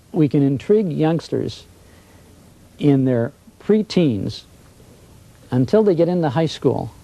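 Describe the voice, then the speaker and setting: A man's voice: mid-range voice, dark tone, low-quality recording. A mature American man, a broadcaster, sharing anecdotes conversationally and acting out what others said to him. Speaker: radio broadcaster being interviewed. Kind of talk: conversational reminiscence.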